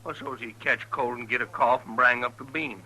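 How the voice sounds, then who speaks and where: A man's voice: medium pitch, steady tone, fairly quick, pushed and bright, slightly rough, poor audio. A man playing a simple, aggrieved, vengeful townsman with blunt rustic speech in an old radio western.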